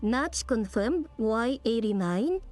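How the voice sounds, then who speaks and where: Female gamer, slightly high voice, unhurried pace, casual commentary and read-aloud dialogue over faint music. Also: lively intonation, a little mellow. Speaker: gamer playing through a story quest.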